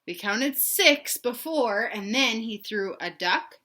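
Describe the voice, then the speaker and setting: A woman reading a children's storybook aloud in an animated, emphatic way, pausing to put questions to young listeners. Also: a little high. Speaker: read-aloud host for children.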